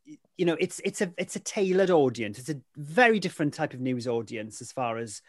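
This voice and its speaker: A man's voice: fairly high pitch, expressive, a little fast, no echo, studio quality. A man with a Welsh accent whose first language is Welsh, recounting his career as a guest in a casual interview, rambling with lots of "you know" fillers. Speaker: interview guest, weather presenter.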